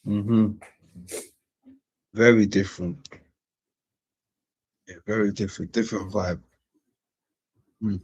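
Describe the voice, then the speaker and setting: Husky laid-back man hosting a stream. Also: lowish voice, steady tone, takes it slowly, pauses often. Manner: laid-back, repeats phrases, slangy shout-outs. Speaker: host of a live-streamed panel. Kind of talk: casual chat, greeting viewers. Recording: dull, lo-fi stream audio.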